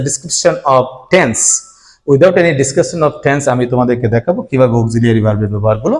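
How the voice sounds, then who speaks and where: Brisk Bangladeshi male grammar teacher. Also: mid-range voice, a little mellow. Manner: addresses students directly, repeats rules step by step. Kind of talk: classroom grammar lesson to students.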